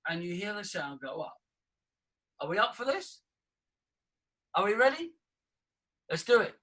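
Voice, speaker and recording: Male voice coach, husky tone, fairly high-pitched, demonstrative and breathy. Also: very animated, unhurried, sharp tone. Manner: breathy, demonstrative, leads vocal exercises. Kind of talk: live workshop teaching.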